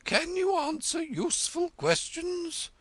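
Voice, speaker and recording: A shrill bossy queen. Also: gravelly, a bit bright, dry recording, exaggerated voice. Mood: imperious. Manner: commanding, drawn-out emphatic words. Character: imperious proud queen, bossy and loud.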